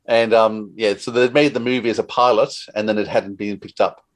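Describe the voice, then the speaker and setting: A man's voice: lowish voice, fairly even intonation, fairly quick. A man, chatting casually as a podcast interviewer. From Australia.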